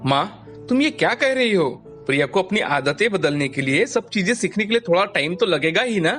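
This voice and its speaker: A man's voice: sits high, lively intonation, normal speed, a bit bright, soft background music. A man playing an earnest, dutiful adult son who protects his wife in a cartoon moral story. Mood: firm, hurt.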